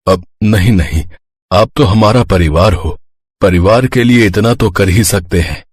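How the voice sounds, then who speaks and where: A man's voice: lowish voice, a little slow, no echo, lightly acted. A man playing a humble, caring middle-aged father in a dramatised moral story, speaking earnestly. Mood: grateful, humble.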